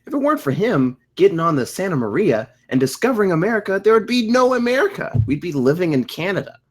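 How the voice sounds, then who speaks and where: Woman as a husky, dim slacker dude. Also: lowish voice, big pitch swings. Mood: earnest, clueless. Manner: slow-witted, earnest, slangy. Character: dim, laid-back slacker dude pool cleaner. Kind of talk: scripted table read of a comedy screenplay.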